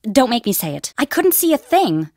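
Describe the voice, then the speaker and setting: Game role: young woman archaeology student at a desert dig,, lively and expressive, higher register. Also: moderate pace, dry recording, studio quality. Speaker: video game character. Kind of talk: studio game voice acting.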